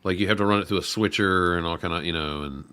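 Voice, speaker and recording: A man's voice: sits low, fast, sharp tone, smooth. A man co-hosting a podcast who rambles casually, with lots of fillers and restarts. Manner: rambling, filler-heavy talk. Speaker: podcast co-host.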